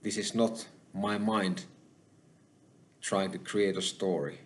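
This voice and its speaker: A man with a Finnish accent, hosting his own channel and talking alone to the camera, slow and halting, with many pauses. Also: deep voice, fairly even intonation. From Finland. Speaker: channel host. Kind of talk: solo spoken monologue.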